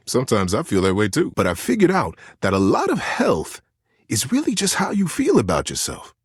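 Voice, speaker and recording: A man voicing a cuddly, earnest talking teddy bear in a video game, giving cozy, folksy encouragement with drawn-out words. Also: medium pitch, wildly swooping pitch, breathy edge, dry recording, clean studio sound. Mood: gentle, encouraging. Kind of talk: video game character acting.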